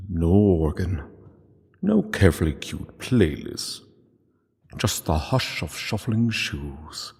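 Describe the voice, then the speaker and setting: A man giving solemn scripted literary narration in a hushed, measured way, with dramatic pauses. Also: low-pitched, gravelly, slightly rough. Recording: long hall reverb, music underneath.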